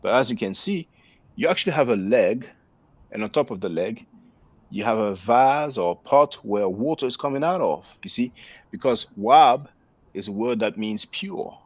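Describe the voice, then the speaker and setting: A man in a casual talk, explaining slowly and measuredly, often trailing off softly. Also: medium pitch, expressive, no echo. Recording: dry, muffled lo-fi audio.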